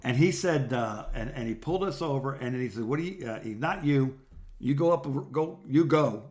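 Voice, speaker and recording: An American man in his sixties chats casually as a podcast co-host. He has a mid-pitched voice and tells rambling personal stories, often circling back and starting over in a halting way. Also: expressive, a little mellow. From South Florida, United States. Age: 60s. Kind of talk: casual phone chat, rambling personal anecdotes.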